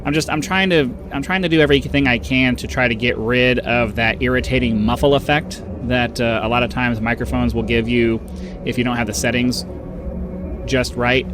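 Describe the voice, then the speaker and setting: A man with a nasal voice hosting a casual let's-play, rambling and chatty, with a bass-boosted desktop microphone. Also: steady tone, normal speed, over music. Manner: rambling, chatty, with frequent uhs and little laughs. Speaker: gaming channel host. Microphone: desktop microphone with bass boosted by an equalizer.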